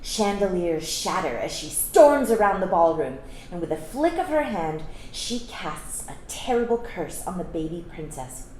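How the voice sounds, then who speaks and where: A woman with a slightly low voice reads a children's story aloud as a storytime reader. She speaks in a gentle, teacherly way to young listeners. The room sounds very echoey, with some faint noise in the recording. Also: big pitch swings, measured pace. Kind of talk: read-aloud children's storytelling with explanatory asides. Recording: roomy echo, some background noise.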